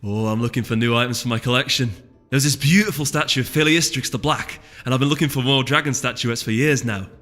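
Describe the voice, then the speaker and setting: A man's voice: medium pitch, fast talker, a bit bright, natural voice. A man performs a young female storybook pony with a Scottish accent. She is bubbly, adventurous and eager to chatter, set in long reverb over music. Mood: excited, gushing.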